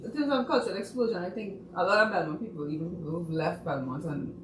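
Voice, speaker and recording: A woman's voice: lowish voice, fast talker, a little hiss or hum. Trinidadian woman telling a story. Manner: animated storytelling. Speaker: guest playwright. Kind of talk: casual chat. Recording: echoey room, distant mic.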